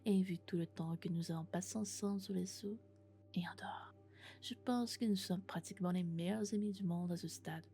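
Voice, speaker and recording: A woman playing a lovesick, possessive female robot in an ASMR roleplay, speaking in a hushed, breathy, intimate whisper. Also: fairly low pitch, a little fast, faint music behind, natural voice. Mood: affectionate.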